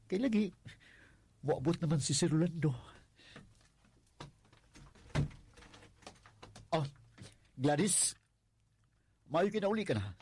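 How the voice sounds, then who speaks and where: An actor with a Visayan Filipino accent playing a worried, devoted husband in a radio drama, sighing and pleading. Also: male voice, on the high side, animated, a little slow, darkish tone, slight background noise. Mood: weary, lonesome. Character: worried, long-suffering, devoted husband narrating his story.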